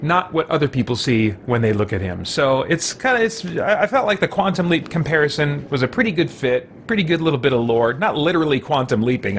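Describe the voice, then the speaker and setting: A man's voice: mid-range voice, a bit bright, a little hiss or hum. A man livestreaming a game, explaining things in casual, rambling commentary. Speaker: livestream gamer. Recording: game music faintly behind.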